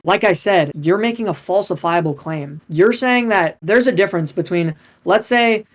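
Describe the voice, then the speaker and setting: A man's voice: high voice, moderate pace. A young man arguing insistently in an online debate, often repeating himself. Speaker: debate guest. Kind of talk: heated debate.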